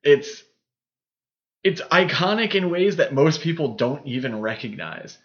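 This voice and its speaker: A man's voice: a little high, sing-song, measured pace, highs cut off. A man co-hosting a podcast, casually discussing films in a rambling, explanatory way. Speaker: podcast co-host.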